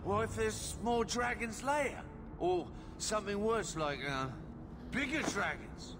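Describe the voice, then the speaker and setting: A man's voice: high-pitched, expressive, measured pace, over music. A man voicing a plain-spoken, folksy farmer turned miner in a video game. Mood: worried.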